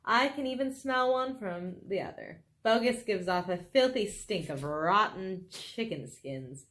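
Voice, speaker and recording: Woman reading as male fox, suave, self-assured, affectionate husband, storybook, very animated intonation. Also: mid-range voice, a little slow. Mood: cocky.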